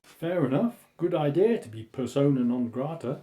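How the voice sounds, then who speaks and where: Guy playing a chilled out sixties hippie in a radio drama, soft mellow tone, voice goes up and down. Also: fairly high pitch, expressive, moderate pace, natural voice. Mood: laid-back. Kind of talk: radio play acting.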